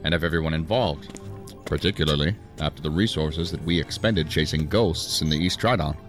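An actor playing a stern, dry assistant director in a sci-fi audio drama, formal, measured and authoritative. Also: male voice, very deep voice, faint music behind. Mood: stern, controlled. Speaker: senior law-enforcement official.

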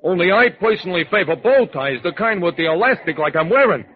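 A man's voice: high voice, animated, brisk, voice pushed up. An actor playing a tough male bouncer with streetwise gangster slang in a 1940s-style radio crime drama. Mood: evasive. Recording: tinny old radio recording.

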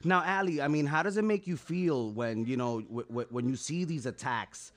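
A man's voice: mid-range voice, normal speed. A man interviewing a guest, rambling through long questions full of 'you know' and restarts.

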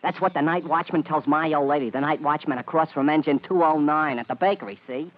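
Guy doing a cocky young hoodlum schemer, old radio drama, slangy, kinda high voice, muffled sound. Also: a little fast, close to an everyday voice. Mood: bossy, impatient. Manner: tough slangy street talk. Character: wicked young male street hoodlum, bossy schemer.

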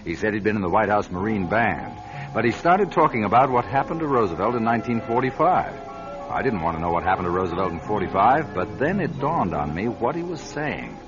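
A man's voice: fairly low pitch, sing-song, a little fast. An actor playing a driven male network journalist who narrates his story in an earnest, urgent way, in a radio drama. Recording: muffled old radio broadcast with music.

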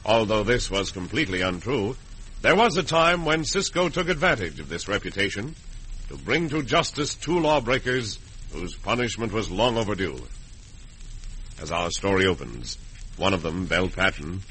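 A man's voice: lowish voice, sing-song, normal speed, bright, forceful tone, slight background noise, low-quality recording. A man acting as a grand, declamatory announcer and narrator in an old-time radio western drama. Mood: storytelling. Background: organ music.

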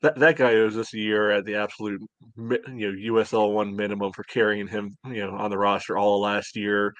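A man's voice: fairly low pitch, flat delivery, a little fast, a bit bright, no echo, muffled recording. An opinionated man giving casual sports commentary as a podcast panelist, often saying "you know".

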